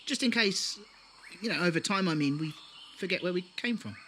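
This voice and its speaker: A man's voice: sits high, animated, fairly quick, bright, forceful tone, slight background noise. A man in an audio drama playing a polite settler who speaks hesitantly and apologetically.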